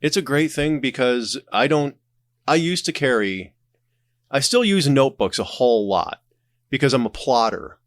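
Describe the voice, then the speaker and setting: A man's voice: medium pitch, expressive, normal speed, no room sound, clean studio sound. A man speaking as a podcast guest, explaining his creative process in casual conversation. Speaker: podcast guest, writer and audio drama creator.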